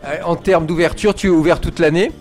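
A man's voice: a little high, steady tone, fairly quick, soft background music. A man hosting an informal radio interview, putting questions to his guest as the interviewer.

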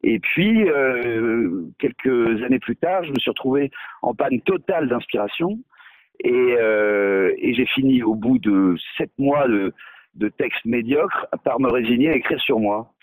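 A man's voice: mid-range voice, expressive, unhurried, no room sound. A male novelist in a radio interview, talking hesitantly with many fillers and restarts, mocking himself. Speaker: novelist guest.

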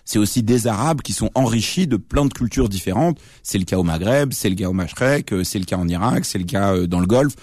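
A man's voice: on the low side, animated, brisk, hardly any pauses, a little mellow, dry recording, studio quality. A man in his late thirties, a journalist and author being interviewed on radio, explaining things thoughtfully with many fillers and restarts. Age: late 30s.

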